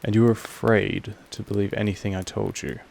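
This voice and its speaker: A man voicing a possessive, patient, commanding vampire master in a roleplay audio monologue, speaking in a slow, deliberate way with weighty pauses. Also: flat delivery, a little mellow. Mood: controlled, quietly menacing. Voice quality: low, creaky. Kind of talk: scripted roleplay audio monologue addressed to the listener.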